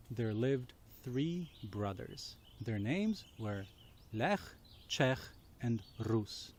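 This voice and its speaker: Slow-paced man telling children's stories. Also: mid-range voice, darkish tone, a little hiss or hum. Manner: deliberate, explains and puts questions to listeners. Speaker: children's storyteller. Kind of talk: storytelling for children.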